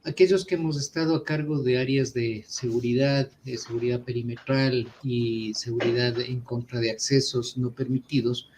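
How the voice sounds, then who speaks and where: A man, a systems engineer invited as a guest, explaining security topics in a measured way with fillers at a virtual conference. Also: medium pitch, fairly even intonation, darkish tone, slight background noise. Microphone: video call.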